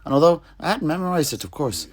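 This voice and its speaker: A man playing a wistful alchemist and truth-sayer, recounting his homeland in measured, dramatic, poetic fantasy storytelling. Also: on the high side, big pitch swings. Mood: solemn recounting.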